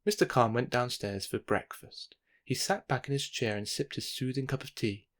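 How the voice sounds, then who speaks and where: A man narrating a children's bedtime story in an expressive, measured read-aloud style. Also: mid-range voice.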